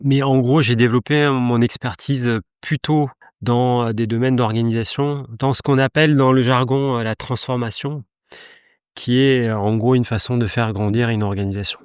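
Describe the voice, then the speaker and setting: A man's voice: medium pitch, fairly even intonation, moderate pace, smooth, no room sound, studio quality. A man in his fifties, originally from Iran, interviewed as a company director on a podcast, speaking reflectively with many hesitations and restarts. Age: 50s.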